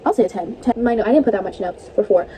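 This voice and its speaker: A woman's voice: medium pitch, expressive, rapid-fire, mellow, slight background noise. A young woman vlogging casually about movies, chatty and rambling, laughing often, her voice a bit stuffy and tired. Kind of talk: casual movie review vlog.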